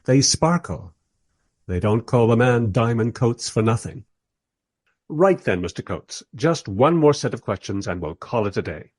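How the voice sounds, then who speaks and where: A man plays a sardonic writer who narrates and interviews in a radio play. His mid-pitched voice is husky and very inflected, with a dry, measured, irreverent delivery. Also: expressive, a little mellow. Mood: politely probing. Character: wry, irreverent male writer narrating, politely probing interviewer.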